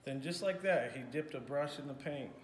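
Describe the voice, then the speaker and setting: A man reads a picture book aloud at a middling pitch. The room is echoey and has a faint background noise. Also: normal speed. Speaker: school staff member reading aloud.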